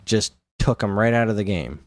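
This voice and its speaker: Low-voiced man in casual podcast chat. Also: moderate pace, no room sound, clean studio sound. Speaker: podcast co-host. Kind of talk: casual chat about video games.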